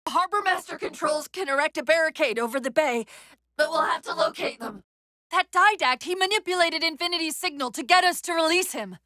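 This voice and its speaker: A woman playing a brisk, wry, urgent military AI companion in a video game, giving crisp commands and tactical briefings. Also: very high-pitched, bright, forceful tone, dry recording. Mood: urgent, alarmed.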